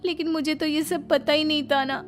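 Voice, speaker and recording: Casting: woman as soft-hearted, obedient young bride for cartoon family drama, high voice. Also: steady tone, a little fast, close to an everyday voice. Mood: hurt. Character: gentle, apologetic young daughter-in-law. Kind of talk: cartoon moral story acting. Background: faint music.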